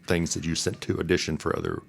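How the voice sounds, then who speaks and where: A man hosting a conversational interview, feeling his way through questions in halting, thoughtful phrases. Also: deep voice, sing-song, vocal fry, slightly rough. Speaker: podcast host, interviewer.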